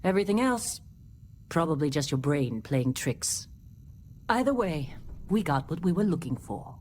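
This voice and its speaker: A woman's voice: deep voice, very animated, a little slow, darkish tone, slight background noise. A woman playing a blunt, dry, commanding female mining supervisor in a video game, weary and no-nonsense. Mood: dry, matter-of-fact.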